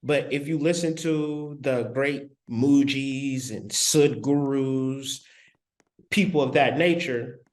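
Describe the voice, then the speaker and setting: A man giving a spiritual lecture, speaking deliberately with long pauses and repeating key phrases for emphasis. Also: mid-range voice. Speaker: spiritual teacher.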